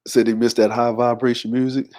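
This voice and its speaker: A man's voice: mid-range voice, a little fast. A man of forty hosting a casual livestream, reading viewer comments aloud and greeting people by name. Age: 40s. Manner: relaxed, shouts out viewers and reads comments aloud. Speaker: livestream host.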